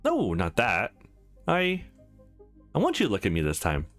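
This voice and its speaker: A man's voice: lowish voice, huge pitch swings, normal speed, fairly bright tone. A man voicing a shy, playful, sweet young woman in a visual novel, hesitant and bashful, with music underneath. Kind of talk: visual novel character read-aloud.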